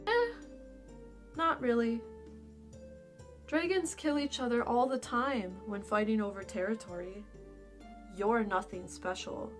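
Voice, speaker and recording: Young female dragon, played by a woman: gruff attitude, dry and dismissive, slightly high, slow delivery, music underneath, fantasy audio drama. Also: lightly acted. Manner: brusque, dismissive, casual. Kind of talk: fan fiction audio drama acting.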